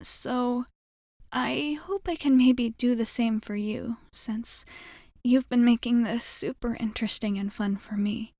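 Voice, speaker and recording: A woman playing a friendly, optimistic alien pilot in a soft-spoken ASMR roleplay, reassuring the listener in a hesitant, apologetic way. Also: fairly high pitch, steady tone, measured pace, no echo, clean studio sound. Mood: hopeful, reassuring.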